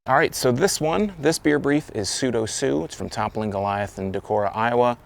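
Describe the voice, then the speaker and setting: A man's voice: mid-range voice, normal speed, creaky. A man casually reviewing a beer outdoors, rambling and correcting himself as he talks. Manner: rambling, self-correcting, loose. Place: outdoors, windy. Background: wind noise.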